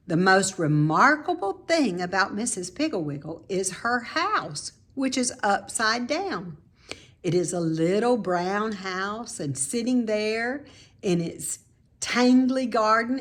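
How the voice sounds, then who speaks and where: A woman reads a children's story aloud at a leisurely pace. Her mid-pitched voice moves up and down in a lively way, and she phrases each idea deliberately and expressively for young listeners. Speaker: storybook reader, teacher.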